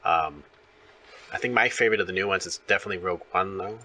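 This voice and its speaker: A man in his thirties with a fairly low but bright, forward voice. He talks in a casual, enthusiastic ramble, doubling back on words, with faint noise behind him. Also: fast. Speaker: interviewer. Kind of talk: casual fan chat about films.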